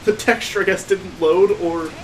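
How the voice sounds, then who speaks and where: A man's voice: high voice, steady tone, moderate pace, a little hiss or hum, rough recording. A man hosting a let's play with casual, sarcastic and amused griping commentary on a video game.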